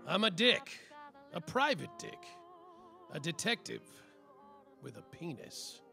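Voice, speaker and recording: An actor playing a semi-sober, world-weary, boastful private detective, narrating a comic noir radio play with theatrical flair. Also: male voice, on the high side, big pitch swings, slow, gravelly, bright, forceful tone, faint music behind, exaggerated voice.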